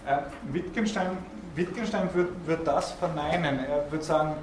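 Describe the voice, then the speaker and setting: Hesitant lecturer in an echoey hall. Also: male voice, a little high, measured pace. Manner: thinking aloud, frequent hesitations and repeated words. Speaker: philosophy lecturer. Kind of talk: university lecture. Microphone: student's recorder in the room. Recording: echoey lecture hall recording with faint noise.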